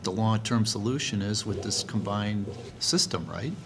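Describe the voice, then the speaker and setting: Insistent low-voiced man over clear noise. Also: moderate pace. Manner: insistent, questioning, tags points with "right?". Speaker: school board member. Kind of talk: argumentative meeting discussion. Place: indoor board meeting room. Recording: noisy meeting room recording with clicks.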